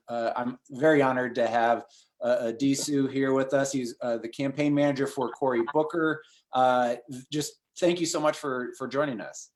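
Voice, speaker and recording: A man of forty hosting a casual interview, talking haltingly with many restarts. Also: medium pitch. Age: 40s. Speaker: host.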